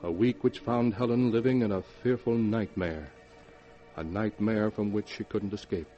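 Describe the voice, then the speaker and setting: An actor playing the grave narrator of a 1940s radio horror tale, on a muffled vintage recording with music behind. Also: male voice, lowish voice, moderate pace, lightly acted. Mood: grave, ominous.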